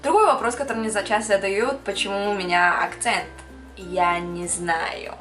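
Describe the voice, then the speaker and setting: A woman's voice: medium pitch, normal speed, a bit bright, faint music behind. Chatty young woman vlogger, echoey room. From Kyrgyzstan. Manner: chatty, mixes in English words, laughs often. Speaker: makeup artist vlogger. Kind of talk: casual Q&A chat to camera.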